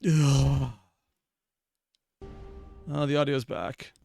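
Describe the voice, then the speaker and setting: A man's voice: mid-range voice, steady tone, very slow, creaky, music in the background. A man hosting a reaction video, commenting casually in a rambling, analytical way.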